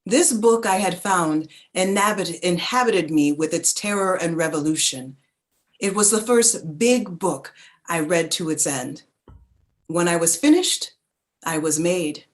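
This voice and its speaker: A woman acting a reflective low-voiced narrator. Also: measured pace, close to an everyday voice. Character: reflective woman narrator remembering her bookish childhood. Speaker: actor playing the narrator. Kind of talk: dramatic literary reading.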